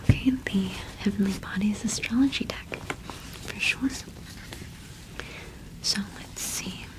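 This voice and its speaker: A young woman doing an ASMR shopkeeper roleplay in a gentle, unhurried whisper with pauses, close to the microphone. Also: mid-range voice, rough recording. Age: young adult. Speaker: ASMR performer playing a cottage witch shopkeeper.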